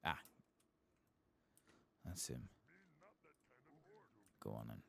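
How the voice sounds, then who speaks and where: A man softly murmuring casual, unhurried ASMR commentary over a video game, sometimes whispering, close to the microphone. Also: extremely low pitch, expressive, gravelly. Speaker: gaming ASMR streamer.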